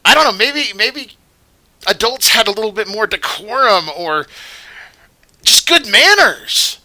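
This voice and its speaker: A man's voice: high voice, animated, a little slow, bright, forceful tone. A man hosting a commentary vlog who rambles and moralizes in an indignant tone. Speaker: vlogger host.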